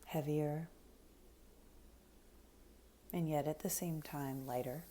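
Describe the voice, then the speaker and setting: A woman leading a guided meditation in a soft, breathy, unhurried voice that often nears a whisper, giving gentle, soothing instructions. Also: low-pitched, fairly even intonation, a little hiss or hum.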